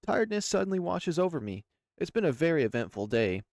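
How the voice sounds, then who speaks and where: A man's voice: on the high side, big pitch swings, quick pace, creaky, darkish tone, no room sound, clean studio sound. A man reading a visual novel aloud as first-person narration for a channel. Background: music.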